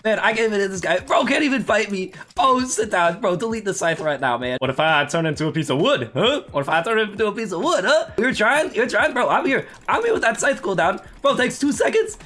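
A young man streaming a game, excited and laughing, hoarse from losing his voice, his voice cracking high. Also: a little fast, hardly any pauses. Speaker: gaming streamer. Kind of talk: excited gameplay commentary. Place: home gaming setup, indoors.

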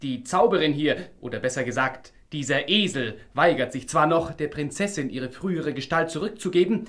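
An actor playing a bold, teasing, good-hearted young hero in a fairy-tale audio drama. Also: male voice, a little high, lively intonation, normal speed, a bit bright. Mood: reassuring.

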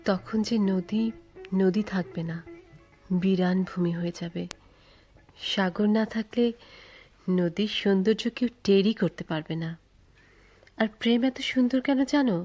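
An actress playing a timid, tender young woman in love in a radio drama, sentimental and soft, with a Bangladeshi accent. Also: mid-range voice, a little slow, pauses often, faint music behind, close to an everyday voice. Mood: wistful. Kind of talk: theatrical radio drama acting. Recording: dull, lo-fi radio recording.

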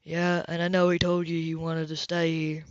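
Gruff frustrated man, read by a woman, muffled, dry sound. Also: low-pitched, completely flat delivery, normal speed, dry recording, pitched below the performer's own voice, close to an everyday voice. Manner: curses and grumbles.

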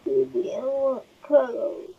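A woman acting a whiny high-voiced clingy girlfriend. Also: steady tone, takes it slowly, husky, heavily acted. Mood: tired, clingy. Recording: muffled lo-fi playback of video.

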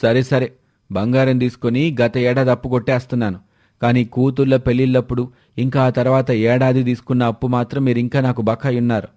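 A man's voice: mid-range voice, level tone, a little fast, mellow, natural voice. A man voicing a blunt village moneylender who scolds and demands repayment. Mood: scolding.

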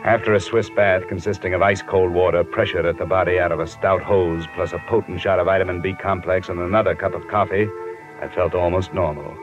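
An American man playing a tough, weary private investigator in a 1950s radio drama, clipped and deadpan. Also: sits low, fairly quick, lightly acted. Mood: grim narration. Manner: clipped, hard-boiled, deadpan. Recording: muffled vintage radio recording, music behind.

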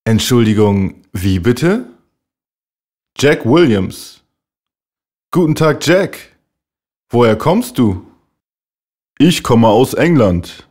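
Slow, lively phrase reader, male. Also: mid-range voice. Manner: slow, clearly enunciated model phrases for repeating. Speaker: German language teacher voice. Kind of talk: slow scripted German phrase reading.

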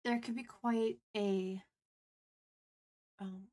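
A woman's voice: on the high side, fairly even intonation, very slow, dark tone. A woman co-hosting a livestream, chatting casually and picking her words carefully and hesitantly. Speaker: streamer and co-host answering viewer questions.

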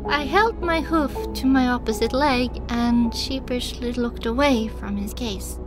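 Female audiobook narrator, higher register, dramatic scripted storytelling over a clear music bed. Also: measured pace.

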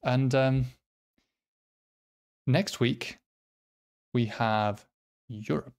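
A man from the Nordic region, a native speaker of Swedish or Finnish, talking casually to viewers as a quiz host, halting and self-deprecating as he thinks aloud. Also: medium pitch. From Finland or nearby Nordic region.

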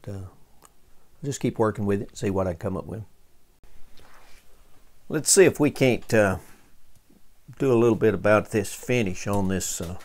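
A man hosting a casual hands-on restoration demonstration, talking in a folksy, halting way as he thinks aloud while working. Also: on the low side, a little mellow. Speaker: host of a vintage radio restoration channel.

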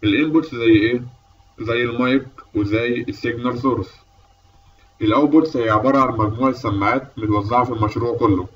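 A man's voice: mid-range voice, quick pace, far from the mic, dull audio. A man with an Egyptian Arabic accent giving a methodical, explanatory lecture as an instructor, step by step. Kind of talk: explanatory lecture over slides.

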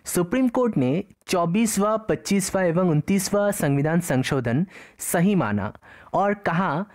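Lively low-voiced woman narrating a lesson. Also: measured pace. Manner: explains each term twice, in Hindi and in English. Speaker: exam-prep teacher. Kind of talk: slide-based lecture narration.

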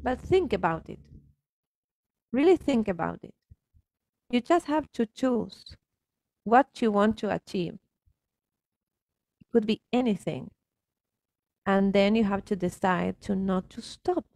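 Casting: Argentine-accented woman, native Spanish speaker, earnest coaching talk, mid pitch, gravelly fry, dry close sound. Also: expressive, slow pace, pauses often, darkish tone, no room sound. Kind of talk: reflective coaching conversation.

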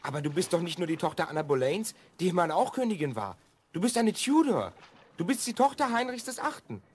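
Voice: mid-range voice, big pitch swings, fairly quick, a little mellow, breathy edge. An actor playing a confident boy time traveller from the future, eager and encouraging, in a children's audio drama. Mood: urging.

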